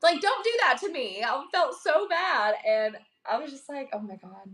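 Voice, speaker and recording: A woman's voice: high voice, sing-song, normal speed, a bit bright. A woman chatting casually on a livestream, blunt and emphatic as she tells stories. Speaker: livestream guest. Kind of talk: casual chat.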